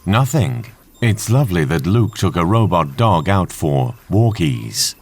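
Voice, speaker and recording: A man acts a courteous, all-knowing supercomputer for an audio drama. His low voice is formal and matter-of-fact, with a dry, teasing edge. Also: big pitch swings, a little slow, slightly rough, exaggerated voice. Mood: sarcastic. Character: polite, dryly sarcastic supercomputer.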